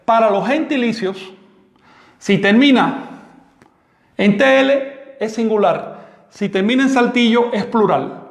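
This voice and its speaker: A man teaches a live class, emphatic and firm as he answers questions. His voice sits high for a man, and the room around him rings with a long, echoey tail. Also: slow, long pauses.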